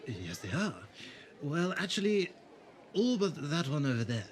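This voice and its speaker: A man's voice: on the high side, sing-song, unhurried, slight background noise. A man playing a polite, bashful pottery seller in a fan audio drama, courteous and slightly hesitant. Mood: bashful, wistful. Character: polite, bashful market stallholder selling pottery.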